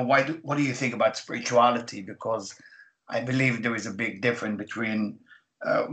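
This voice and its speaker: This is a man from Israel whose English carries a clear Israeli accent. He answers interview questions slowly and thoughtfully, filling his pauses with plenty of "uh" and "um". His voice sits at an everyday middle pitch for a man. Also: fairly bright tone. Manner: halting non-native English with many fillers. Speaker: guest, Kabbalah teacher.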